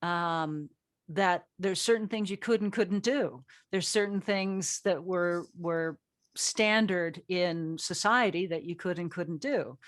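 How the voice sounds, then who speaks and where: A woman's voice: on the low side, slow pace, dry recording, studio quality. Playful woman hosting a casual chat. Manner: playful, self-deprecating. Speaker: host and writer of an audio drama. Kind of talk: casual group interview, joking banter.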